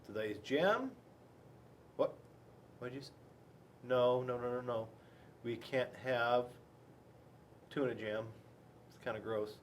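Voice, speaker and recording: An American man reading a picture book aloud to children, playful and rambling, with dramatic pauses and chatty asides. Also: mid-range voice, a little hiss or hum. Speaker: school resource police officer reading to children.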